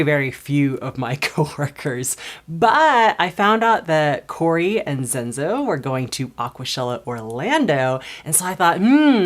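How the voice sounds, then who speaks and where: A woman in her thirties narrating a casual vlog in a chatty, upbeat way, laughing often. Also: low-pitched. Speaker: vlogger.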